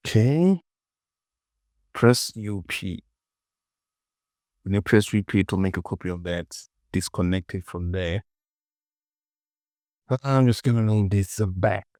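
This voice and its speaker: A man narrating a casual step-by-step software tutorial in short instructions, often repeating phrases and asking himself questions. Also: low-pitched, expressive, measured pace, long pauses, no echo, clean studio sound.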